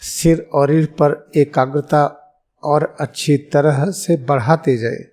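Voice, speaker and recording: A man with an Indian accent leading a guided meditation as a yoga guru, speaking in solemn, deliberate, measured phrases with pauses. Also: on the high side, steady tone, darkish tone.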